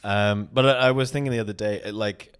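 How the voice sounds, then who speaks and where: A man's voice: on the low side, fairly even intonation, fast talker, clear, resonant tone. A man of thirty, co-hosting a podcast in loose, rambling chat, often restarting sentences and laughing. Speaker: podcast co-host. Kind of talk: casual chat. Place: London.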